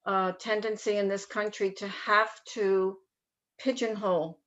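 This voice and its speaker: A middle-aged woman, mother of a grown daughter, sharing a story in a discussion on a video call, speaking in a measured way with fillers. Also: mid-range voice, flat delivery, a bit bright. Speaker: participant. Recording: dull lo-fi call audio.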